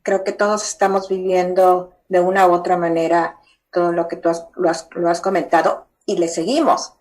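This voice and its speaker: A woman's voice: lowish voice, level tone, normal speed, darkish tone. A woman speaking on a video call, hesitant and repeating words as she gives thanks. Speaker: meeting participant.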